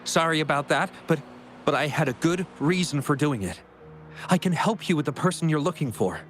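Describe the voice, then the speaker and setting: A man's voice: a little high, lively intonation, brisk, faint music behind, close to an everyday voice. A man playing an earnest, apologetic young researcher in a video game, hesitant and confiding. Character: earnest, apologetic young male researcher, worried about friend.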